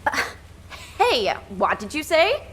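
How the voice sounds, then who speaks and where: A woman's voice: sits high, wildly swooping pitch, takes it slowly, a bit bright, slight background noise, heavily acted. An actress playing a flamboyant, boastful young woman in a game, brash and theatrical. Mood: indignant. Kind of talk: scripted game dialogue.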